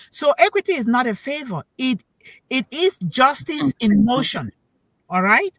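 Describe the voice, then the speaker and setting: A woman's voice: on the high side, fairly bright tone, dry recording, poor audio. Emphatic woman giving a preachy talk. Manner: emphatic, preachy, repeats key words for stress; asks the listeners questions. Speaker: panel speaker on an online talk show. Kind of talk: motivational talk.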